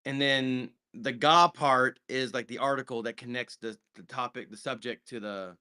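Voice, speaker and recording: A man's voice: medium pitch, steady tone, normal speed, pushed and bright, clear, resonant tone. A man, co-hosting a casual film review chat and explaining at length with many restarts. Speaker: podcast co-host.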